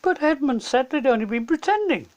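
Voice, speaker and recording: A man's voice: very high voice, animated, fairly quick, voice pushed up. A man voicing a polite, sincere and doubtful schoolchild in a children's storybook. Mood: puzzled.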